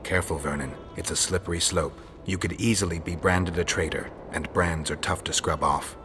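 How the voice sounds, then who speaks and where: A male actor playing a calm, cynical, world-weary monster hunter in a video game, talking in a measured, deadpan, wry way. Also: low-pitched, over music, close to an everyday voice. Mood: measured persuasion. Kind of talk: dramatic video game acting.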